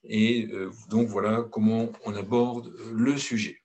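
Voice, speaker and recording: Man, middle-aged, from France, consultant presenting, blunt, outspoken, hesitant restarts, husky. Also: lowish voice, level tone, measured pace, darkish tone. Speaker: consultancy director giving a presentation. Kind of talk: conference talk.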